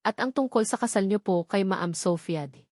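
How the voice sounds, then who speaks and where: A woman's voice: medium pitch, normal speed, darkish tone, no room sound, clean studio sound, natural voice. A Filipino woman voicing a deferential, anxious household maid in a story, respectful and confiding.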